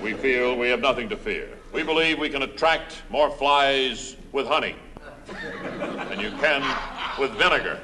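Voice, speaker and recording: A man playing a smug, brazenly corrupt small-town mayor in a deadpan radio interview sketch, genial and matter-of-fact about his crooked deeds. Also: mid-range voice, unhurried, close to an everyday voice. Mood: smug, unruffled. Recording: noisy old radio comedy recording.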